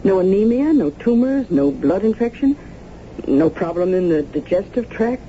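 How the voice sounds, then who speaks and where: A woman acting in a radio drama as a serious company doctor, speaking in a measured, clinical way. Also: mid-range voice, lively intonation, mellow, natural voice. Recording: muffled, noisy old radio broadcast.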